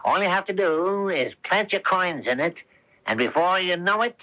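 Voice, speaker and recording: A man voicing a sly, smooth-talking fox swindler in a radio play, with theatrical, wheedling sales patter. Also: sits high, expressive, normal speed, bright, forceful tone, rough recording. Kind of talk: 1970s radio adventure theater acting.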